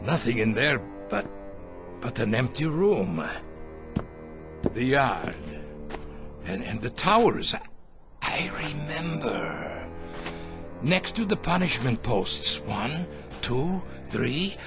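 An actor playing a stammering, cruel former camp captain in a radio drama. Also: male voice, mid-range voice, very animated, slow pace, long pauses. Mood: wistful. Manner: stammering, mocking laughs, then fearful. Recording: radio play with music behind.

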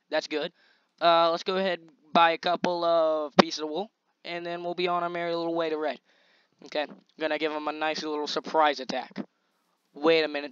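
A young man hosting his own gaming channel, commentating gameplay in a chatty, slangy, excitable way, his voice a little scratchy. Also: a little high, no echo, highs cut off. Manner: excitable, chatty, slangy hype while playing. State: slightly scratchy voice.

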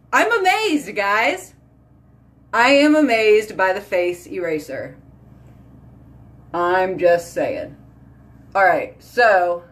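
A woman, chatting casually to a phone camera at home as a beauty vlogger, talking in a lively, filler-heavy way. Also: mid-range voice. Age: 30s. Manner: chatty, animated, lots of "like" and "y'all". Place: small bedroom or bathroom at home. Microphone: phone camera at arm's length.

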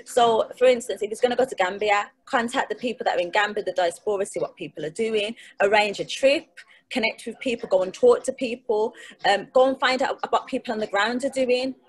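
A woman, her voice sitting a touch high. She talks in an emphatic, rallying way, repeating phrases to drive points home. The sound comes from a webcam mic in a home living room. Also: fairly quick, rough recording. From England. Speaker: guest. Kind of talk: casual video call interview. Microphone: webcam or laptop mic on a video call.

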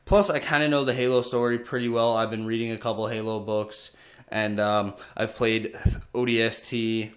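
A young man with a cold and a stuffy nose, chatting casually with lots of "uh" fillers while showing off his game collection in an echoey room at home. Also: fairly low pitch. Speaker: video game collector vlogger. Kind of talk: casual show-and-tell.

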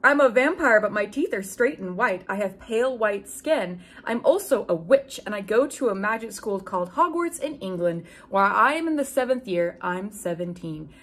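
A young woman hosting a video from a room at home. Her voice sits at a medium pitch, and she talks in an informal, wry way with animated delivery, whether chatting or reading aloud. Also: normal speed, few pauses. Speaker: YouTube host. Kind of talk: casual chat and reading fan fiction aloud with asides. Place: small room at home with bookshelves.

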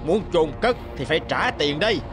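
A mean, greedy cartoon thug, played by a man, taunting in a raised, lively voice. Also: a little high, brisk, music in the background, natural voice. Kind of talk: cartoon villain acting.

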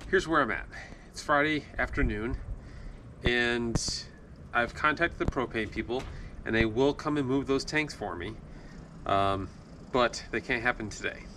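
A man's voice: medium pitch, a little slow, bright, forceful tone, a little hiss or hum. A man in his forties talking casually to camera as a builder vlogging his work plans, in a colloquial, folksy, self-deprecating way. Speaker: home improvement vlogger, builder. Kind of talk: casual vlog talk about work plans. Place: job site, outdoors and in unfinished house.

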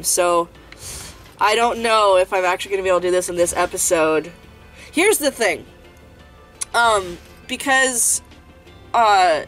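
A woman's voice: lowish voice, a bit bright. A young woman chats loosely as she plays a game at home, her voice stuffy and congested from a lingering cold. She rambles, restarts and fills gaps with ums, with faint game music under her. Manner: rambling, many fillers and restarts. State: congested, sniffling. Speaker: gaming channel host. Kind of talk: casual let's-play chat. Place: home room, indoors.

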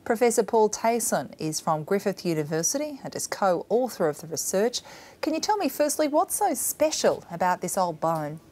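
A woman's voice: medium pitch, very animated, normal speed, a little mellow. A middle-aged woman with an Australian accent, presenting and interviewing in a television news studio.

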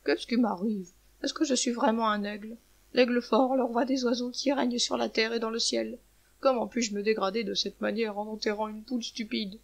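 A woman's voice: a little high, fairly even intonation, moderate pace. A woman voicing a proud but tender eagle in a children's story, earnest and self-questioning. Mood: bewildered, proud. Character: proud eagle, king of birds, turned tender. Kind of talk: children's storybook character reading.

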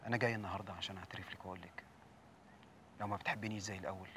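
Low-voiced egyptian guy pouring his heart out, fast, hissy background. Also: steady tone, a bit bright. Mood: earnest. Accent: Egyptian Arabic. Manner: earnest, confiding. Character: young man in love, troubled and sincere. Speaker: Youssef.

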